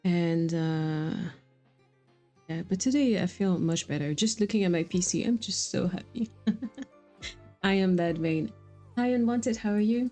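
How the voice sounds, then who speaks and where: A woman's voice: on the low side, measured pace, long pauses, mellow. A woman streaming video games, chatting casually with viewers and reacting to the game. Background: faint game music.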